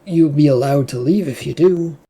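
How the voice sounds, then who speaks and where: A narrator voicing an irritated, controlling male boss in a storybook, with a husky voice. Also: medium pitch, moderate pace, warm tone. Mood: pressing.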